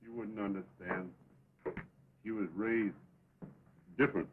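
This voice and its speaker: An actor playing a reserved, honorable American cowboy, laconic and plain-spoken, in early western talkie style. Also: male voice, on the low side, steady tone, takes it slowly, background noise. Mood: grave, resolved. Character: reserved, honorable cowboy reluctantly facing a gunfight. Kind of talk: early 1929 western talkie film acting. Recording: tinny, band-limited 1920s film soundtrack.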